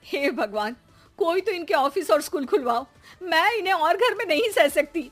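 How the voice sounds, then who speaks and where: High-pitched, exasperated scolding mother, acted by a woman. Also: lively intonation, normal speed, soft background music. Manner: sarcastic, nagging, theatrical. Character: exasperated Indian mother, sharp-tongued, scolding her young son and husband. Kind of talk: comic audio drama acting.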